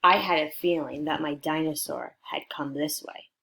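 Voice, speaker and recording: A woman reading a picture book aloud to children in an expressive way, prompting her listeners with questions. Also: low voice, moderate pace, creaky, breathy edge. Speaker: storybook reader for children.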